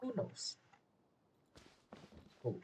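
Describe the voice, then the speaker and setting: A man giving casual game commentary, musing aloud, repeating phrases and asking himself questions. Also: extremely slow. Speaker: gamer narrating a playthrough. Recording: game music behind the voice.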